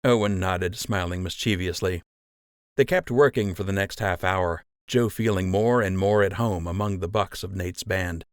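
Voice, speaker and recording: A man's voice: deep voice, moderate pace, clean tone, dry recording, studio quality. A man reading a children's adventure audiobook as scripted storytelling narration.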